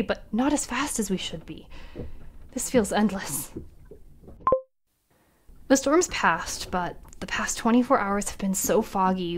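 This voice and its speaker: A woman's voice: medium pitch, slight background noise, natural voice. An actress playing a female ship captain recording her last log entries, frightened and dropping into whispers. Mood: weary. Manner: frightened, breaking into whispers and cries. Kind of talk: audio drama acting.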